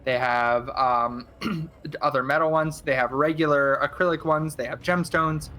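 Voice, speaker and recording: A man's voice: on the high side, steady tone, measured pace, sharp tone. A man co-hosting a stream, giving a casual sponsor plug in a hesitant, piecemeal way. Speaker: co-host reading a sponsor plug.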